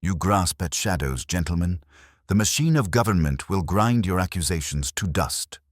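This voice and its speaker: A cold aristocratic villain acted by a male narrator with a very deep voice that rises and dips sharply. He is pompous and bitter, full of formal contempt, in a dry storybook recording. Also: lively intonation, moderate pace, dry recording. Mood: defiant. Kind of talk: dramatised audiobook mystery.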